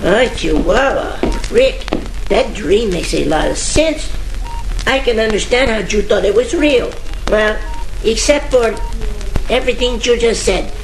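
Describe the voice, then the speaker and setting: Droll detective's pal, female actor: chatty, higher voice, vintage radio spoof, clear music bed in a roomy space. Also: lively intonation, measured pace. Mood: dry, teasing. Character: chatty, droll friend visiting detective in hospital. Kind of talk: comic old-time radio parody acting. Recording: roomy, music behind, old radio crackle.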